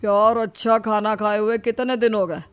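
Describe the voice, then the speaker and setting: A woman's voice: medium pitch, level tone, normal speed, smooth, lightly acted. A woman voicing a blunt, grumbling young son-in-law in a cartoon story.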